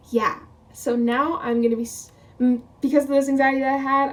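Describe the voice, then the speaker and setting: A woman's voice: high voice, far from the mic. A woman of twenty talking casually to camera as a vlogger, rambling, quoting her own thoughts and laughing often. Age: 20s.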